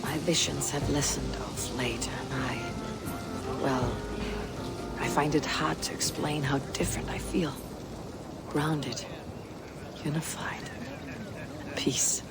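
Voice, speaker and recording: A woman voicing a steady female Viking clan leader who speaks in a measured, formal way, officiating a wedding and reflecting quietly. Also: extremely low pitch, creaky, exaggerated voice. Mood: reflective. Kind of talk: video game voice acting. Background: music.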